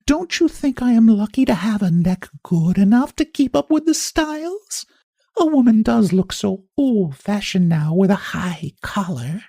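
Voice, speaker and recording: A man voicing a lively, vain, sweet-talking older woman with a very high, fluttery voice in a storybook reading. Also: creaky, dry recording, clean studio sound, full character voice. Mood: sweet teasing. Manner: sweetly teasing, quick.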